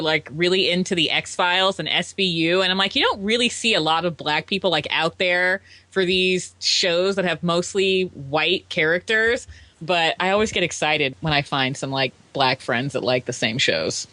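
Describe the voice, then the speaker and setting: A woman's voice: fairly low pitch, moderate pace, bright, forceful tone, dry recording. A woman co-hosting a podcast in chatty casual talk, sprinkling in lots of "like". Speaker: podcast co-host. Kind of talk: casual chat.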